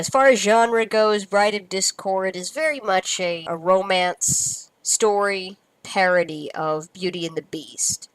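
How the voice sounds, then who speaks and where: A woman's voice: fairly low pitch, no echo, low-quality recording. A woman hosting a casual review, explaining things in an informal, tentative way. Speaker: fan fiction reviewer and host.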